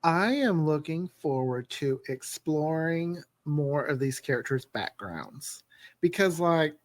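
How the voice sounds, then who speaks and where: A man's voice: a little high, animated, slow, no echo. A man who writes for an audio drama, chatting casually in a rambling, talkative way in a group discussion. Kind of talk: casual behind-the-scenes group chat.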